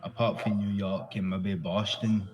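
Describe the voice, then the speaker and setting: A man's voice: fairly low pitch, level tone, measured pace. A Glaswegian Scottish man in casual conversation, talking loosely, repeating himself and swearing freely. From Glasgow, Scotland.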